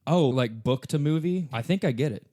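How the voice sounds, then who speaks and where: A man's voice: fairly high pitch, fairly quick, warm tone. A man co-hosting a podcast in casual chat, joking around and using plenty of slang. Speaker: podcast co-host.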